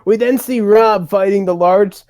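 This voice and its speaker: Slow-paced playful narrator, casual and jokey. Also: medium pitch, a little mellow. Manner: playful, mock-exasperated, jokey asides. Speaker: narrator of a comedic script reading. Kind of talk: casual dramatic reading with running commentary.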